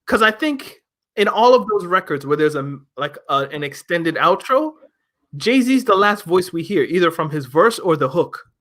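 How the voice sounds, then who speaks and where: A young man with a fairly high voice reviews an album in casual chat. He argues his points emphatically and fires off rhetorical questions. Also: big pitch swings, moderate pace, a bit bright. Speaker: music review host.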